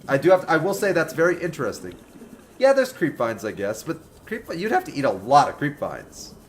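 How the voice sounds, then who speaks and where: Young guy streaming a game, pretty lively voice, bounces up and down, kinda high for a dude, jokes with chat. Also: brisk, a little hiss or hum. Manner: animated, joking, talks to chat by name. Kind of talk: casual livestream commentary, chatting with viewers.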